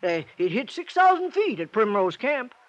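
An actor playing a talkative, opinionated rural American old-timer in a 1950s radio drama, rambling and hemming in a folksy way. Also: male voice, sits high, very animated, normal speed, dry recording. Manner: rambling folksy drawl with hems and coughs. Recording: dull vintage 1950s radio recording.

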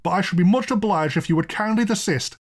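Man playing an agitated, high-pitched lawyer. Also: quick pace. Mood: angry, polite. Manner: formal, indignant questioning. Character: agitated male lawyer, angry, sharp and insistent.

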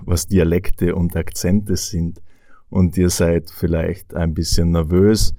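Austrian man, low voice, dry close sound, measured teaching tone. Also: dark tone, no echo. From Tyrol, Austria. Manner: clear, measured explanation with rhetorical questions. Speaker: language teacher. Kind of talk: explaining to learners of German.

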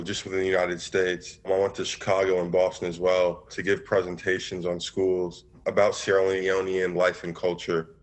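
A young man with a very low, flat voice speaking on a video call. He keeps his answers brief and matter-of-fact. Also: rough recording. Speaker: alumnus guest.

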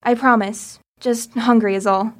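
A woman's voice: fairly high pitch, fairly even intonation, a little slow, natural voice. A woman playing an earnest teenage girl who pleads for help in an audio drama. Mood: pleading.

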